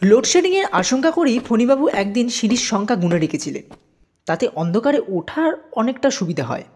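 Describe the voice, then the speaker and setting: A woman reads a scripted story for an audio production. Her voice sits fairly high and moves in lively swings of pitch, giving the narration an expressive shape. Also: a little fast, husky.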